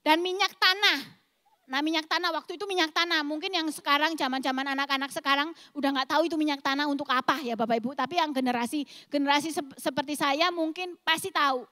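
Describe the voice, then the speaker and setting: A woman's voice: sits high, fast talker, pushed and bright, no echo, long reverb tail. A woman in her forties with a thick East Javanese accent, preaching a sermon as a pastor in an emphatic, explosive way. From East Java, Indonesia. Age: 40s. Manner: emphatic, explosive delivery addressing the congregation.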